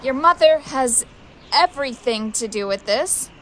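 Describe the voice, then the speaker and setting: A woman's voice: high-pitched, expressive, unhurried, fairly bright tone, slight background noise. An actress playing a flippant, defiant young woman in a conversational, teasing way in an audio drama. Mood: defiant, dismissive.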